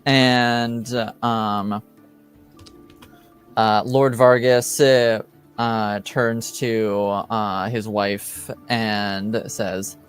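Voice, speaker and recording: A man narrating a tabletop fantasy game as game master, improvising in a halting, hesitant way. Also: on the low side, level tone, smooth, faint music behind.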